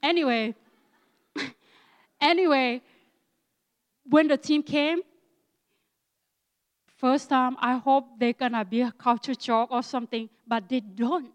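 A woman in her sixties from Thailand with an Akha Thai accent, speaking to a church in halting English and often searching for words. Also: sits high, long reverb tail. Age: 60s. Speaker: guest missionary speaker. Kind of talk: church talk with thanks.

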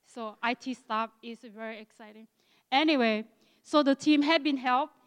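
A woman in her sixties from Thailand with an Akha Thai accent, speaking to a church in halting English and often searching for words. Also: high voice, fairly bright tone, clear, resonant tone, in a large hall. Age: 60s. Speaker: guest missionary speaker. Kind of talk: church talk with thanks.

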